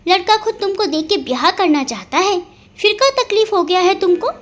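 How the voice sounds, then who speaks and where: A woman voicing a bossy, sharp-tongued older great-aunt with a Bihari accent, blunt and taunting, in an audio story. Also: very high voice, expressive, fairly quick, pitched above the performer's own voice.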